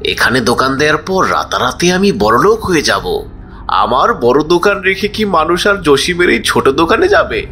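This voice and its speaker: A man's voice: on the high side, very animated, normal speed, sharp tone, slight background noise, exaggerated voice. A man playing a greedy, scornful cartoon shopkeeper who is scheming and self-important. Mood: boastful, smug. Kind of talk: cartoon story character dialogue.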